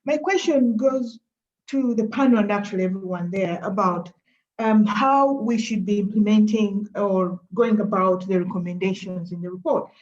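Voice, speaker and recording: A woman asks an earnest, probing question over a video call. Her voice sits in a middle pitch range, and the sound is muffled and dull. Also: normal speed, darkish tone. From Uganda. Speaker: audience member asking a question. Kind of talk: webinar question.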